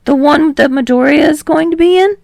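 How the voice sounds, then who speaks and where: A friendly, candid young man, played by a woman reader in an audiobook story. He is easily awed and enthusiastic, his voice lifted in pitch. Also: fairly quick, vocal fry, darkish tone, no room sound, studio quality. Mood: awed, excited.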